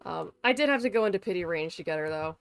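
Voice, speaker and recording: A woman's voice: on the low side, lively intonation, fast talker, creaky, dry recording. Casual, playful female game streamer. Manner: informal, rambling, playful teasing, swears. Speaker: livestreamer. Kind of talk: casual gaming stream chat. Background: music in the background.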